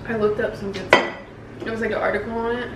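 A woman's voice: mid-range voice, fairly even intonation. Young woman, teasing dinner-table banter, distant mic, cutlery noise. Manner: opinionated, teasing, quick asides. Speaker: family member. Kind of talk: casual family dinner chat. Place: dining room at home. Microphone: far camera across table. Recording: echoey room, distant mic, table clatter.

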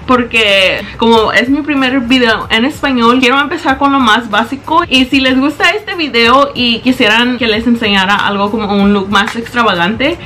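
Bright-voiced young woman doing a makeup tutorial. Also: a little high, moderate pace, few pauses, a little hiss or hum. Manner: chatty, explaining step by step; English words slip into her Spanish. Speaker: beauty vlogger. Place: small bedroom indoors. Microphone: camera in front at close range.

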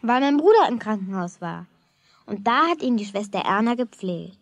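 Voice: mid-range voice, sing-song, normal speed, no echo. A performer playing an indignant, outspoken schoolgirl in a children's radio play, blunt and complaining.

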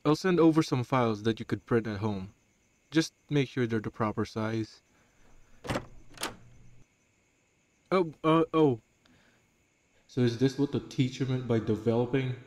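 A man voicing a shy, awkward high school boy in an audio roleplay, hesitant, self-conscious and stammering. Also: normal speed, darkish tone, dry recording, natural voice. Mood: shy, earnest. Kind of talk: amateur audio roleplay acting.

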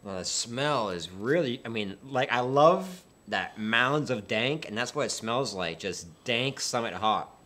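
A man in his thirties co-hosting a beer review, talking in a relaxed, musing way with many fillers and restarts, seated in a small indoor studio. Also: mid-range voice, measured pace. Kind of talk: casual tasting chat. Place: small indoor studio set with dark curtain.

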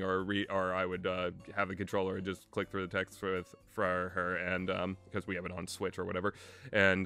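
A young man giving a casual, rambling game review in a halting way, often chuckling as he goes. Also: lowish voice, sharp tone, clean tone, no echo. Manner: hesitant, halting, often chuckling mid-sentence.

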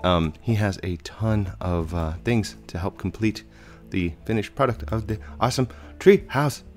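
A man in his thirties casually narrating a video game as he plays, chatty and rambling, a little hoarse and congested from a cold, on a headset mic at home. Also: on the low side, normal speed, darkish tone, soft background music. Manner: chatty, rambling, with frequent fillers. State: slightly hoarse with a head cold. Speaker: gaming channel host. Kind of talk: casual let's play commentary.

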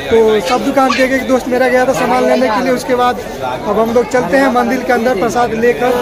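A man from Bihar talks his viewers through a busy fair in a casual vlog, repeating himself and calling them "guys". His voice sits very high and stays flat in pitch. Loud music and a crowd fill the background. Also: a little fast. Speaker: vlogger.

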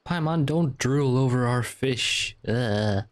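A man's voice: mid-range voice, slow. A man streaming a game, giving casual commentary and reading dialogue aloud. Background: soft game music.

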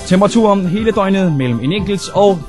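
A young man presenting live on the radio as host, in a small studio on a boom microphone. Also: expressive. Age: young adult. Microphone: studio microphone on boom arm. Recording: music behind, old videotape aircheck. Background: music.

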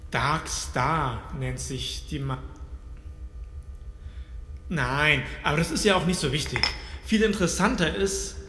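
An echoing space carries the high voice of a man playing an anxious, sarcastic captive in a horror audio drama. He speaks slowly and haltingly, with a bright, tense edge. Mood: wary, thoughtful. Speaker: voice actor. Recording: echoey hall reverb, faint background noise.

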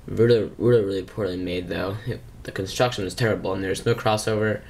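A man giving a casual, unscripted hobbyist show-and-tell, with halting delivery and frequent pauses. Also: fairly low pitch, gravelly. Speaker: hobbyist vlogger showing vintage speakers. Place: small indoor room at a workbench. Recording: noisy camera mic, audible background noise.

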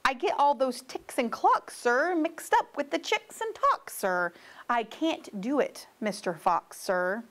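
A woman reads as a flustered male creature from a picture book. The voice is high and very animated, full of polite complaint and pleading exasperation. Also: slow pace, heavily acted. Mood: apologetic, reluctant.

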